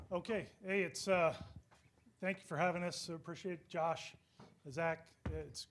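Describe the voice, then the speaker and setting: A middle-aged man, a nonprofit co-founder, speaking conversationally to a live audience. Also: a little high. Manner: conversational, with fillers.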